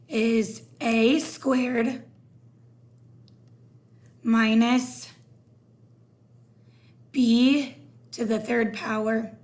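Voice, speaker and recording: A hoarse woman teaching a recorded math lesson, explaining each step slowly. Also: a little high.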